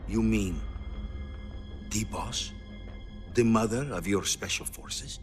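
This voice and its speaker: An actor playing an imposing older Soviet head of state in a cinematic video game, grave, deliberate and ominous. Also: male voice, fairly low pitch, faint music behind. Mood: startled, grave.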